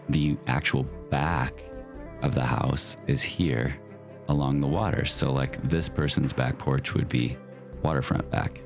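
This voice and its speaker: Very deep, gravelly-voiced gaming man. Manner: easygoing and chatty, with loose fillers. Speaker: Minecraft builder and channel host. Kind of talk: casual gameplay commentary.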